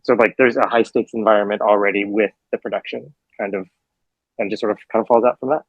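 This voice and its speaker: A film director being interviewed, talking casually in long, rambling answers full of fillers and self-corrections. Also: male voice, lowish voice, fairly even intonation, quick pace, dry recording, highs cut off. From Hawaii.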